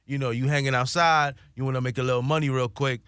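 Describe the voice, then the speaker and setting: A man's voice: mid-range voice, fairly even intonation, fast, a bit bright, clean tone, no echo, clean studio sound. Emphatic New man saying "right?". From New York, USA. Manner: emphatic, tags sentences with "right?". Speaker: guest, business co-founder. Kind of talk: casual conversation. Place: studio.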